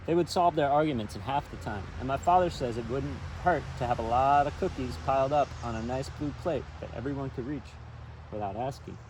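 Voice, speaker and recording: A man reads a storybook aloud in a chatty, informal way, drifting easily between the text and his own remarks. His pitch is ordinary for a man. He is outdoors and a little way from the microphone, with clear outdoor and traffic noise around him. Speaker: storybook reader. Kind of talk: informal read-aloud with personal asides. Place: outdoors by a river. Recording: distant outdoor mic with steady background noise.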